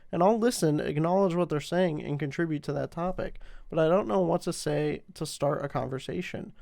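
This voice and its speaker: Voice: medium pitch, vocal fry, darkish tone, no room sound, clean studio sound. A podcast co-host chatting casually and informally, with plenty of slang. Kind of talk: casual chat.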